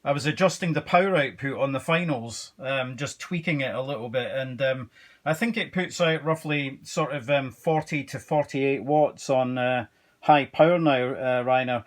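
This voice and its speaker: A man talking casually as an amateur radio operator, with halting phrasing and radio procedure words. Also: medium pitch. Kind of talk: casual ham radio exchange.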